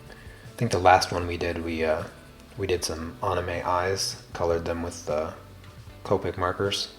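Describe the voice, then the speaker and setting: A man hosting a drawing livestream, chatting casually with viewers in a very soft, quiet voice and a slow, deliberate cadence full of small restarts. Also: sits low, flat delivery, faint music behind. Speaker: art livestream host.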